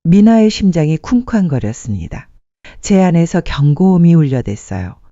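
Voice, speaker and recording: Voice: medium pitch, sing-song, normal speed, warm tone, dry recording. A narrator reading a scripted dramatic story as a storyteller. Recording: muffled dry studio sound.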